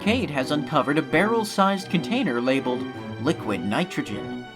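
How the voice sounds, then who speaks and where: A man's voice: on the high side, big pitch swings, moderate pace. A man narrating a scripted audio drama in a dramatic storytelling style. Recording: music behind the narration.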